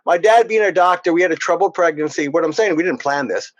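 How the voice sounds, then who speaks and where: A man's voice: fairly high pitch, quick pace. A middle-aged man from Princeton, New Jersey, chatting casually as a podcast guest, rambling and chuckling as he tells stories. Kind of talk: casual interview chat. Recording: dull lo-fi audio.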